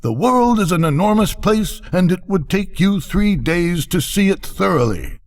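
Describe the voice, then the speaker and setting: Older man as a high, bossy talking firework. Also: lively intonation, measured pace, faint music behind. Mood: scornful. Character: big, scornful, opinionated Roman candle firework.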